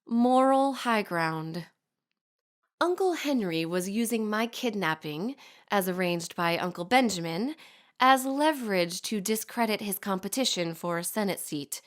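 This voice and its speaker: A woman's voice: medium pitch, animated, a little slow, close to an everyday voice. A woman playing a poised, sardonic society lady in an audio drama, narrating a letter with precise, formal, archly wry diction. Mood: wry, amused. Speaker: actor in a fiction podcast. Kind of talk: scripted audio drama monologue, letter read aloud.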